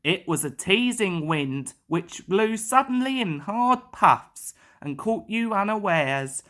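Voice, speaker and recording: A woman's voice: medium pitch, lively intonation, slow pace, fairly bright tone. A woman narrating a children's story as its storyteller.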